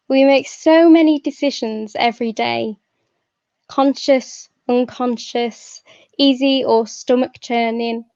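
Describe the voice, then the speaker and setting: A young woman speaks as a poet, sharing a personal talk and verse. She takes her time, pausing between short phrases. The sound is dry and a little dull, like muffled online audio. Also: fairly high pitch, a little mellow, no echo. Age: young adult. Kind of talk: personal talk and poetry reading.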